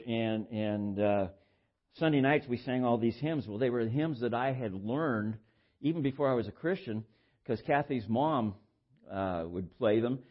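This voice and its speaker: An older man with a baritone voice preaching informally, halting and repeating words as he shares personal stories in a conversational way. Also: lo-fi sound. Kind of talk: informal sermon with personal anecdotes.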